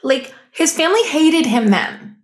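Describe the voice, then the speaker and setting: A woman talking as a podcast guest, telling stories in an animated, expressive way with lots of fillers. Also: sits high, measured pace. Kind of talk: casual conversation.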